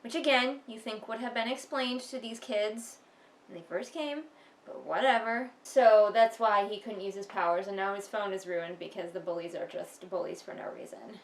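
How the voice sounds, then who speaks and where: A woman's voice: mid-range voice, normal speed. Sarcastic woman in an echoey room. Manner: sarcastic, exasperated. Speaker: host of a book read-along. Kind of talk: casual mocking commentary on a book.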